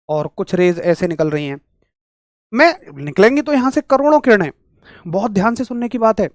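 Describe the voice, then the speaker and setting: A male teacher with a rural Hindi accent and a sore throat, giving an energetic, chatty online physics class straight to his students. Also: sits high, dry recording.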